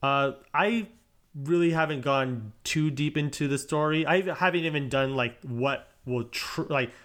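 A man's voice: mid-range voice, a little slow, fairly bright tone. A man co-hosting a podcast in casual chat, rambling and correcting himself as he goes. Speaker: podcast co-host.

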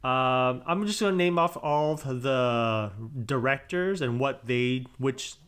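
Quick-talking male podcast host. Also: mid-range voice, a bit bright, smooth. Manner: quick, laughing, leads the topics. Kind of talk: casual chat.

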